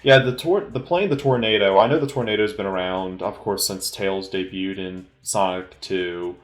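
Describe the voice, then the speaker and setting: Low-voiced man, hesitant and rambling. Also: reverberant, faint music behind. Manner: hesitant, rambling, halting delivery. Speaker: film commentator. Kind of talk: informal solo movie commentary.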